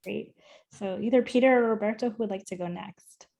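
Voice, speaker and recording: A woman organizing a panel, briskly directing speakers over a video call. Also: medium pitch, vocal fry, darkish tone.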